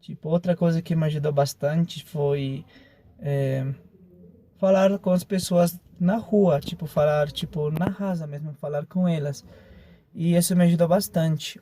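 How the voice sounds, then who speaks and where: A man with a Bolivian accent and Spanish as his first language, giving a relaxed, casual testimonial full of fillers. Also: a little high, a little hiss or hum.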